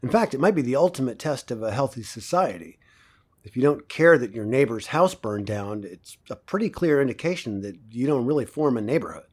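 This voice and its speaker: A dystopian professor giving a lecture, mid-pitched voice, measured, cynical, very didactic, audio drama. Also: male voice, lively intonation, a little mellow, breathy edge. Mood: cynical, assured. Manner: didactic, measured, rhetorical pauses and questions.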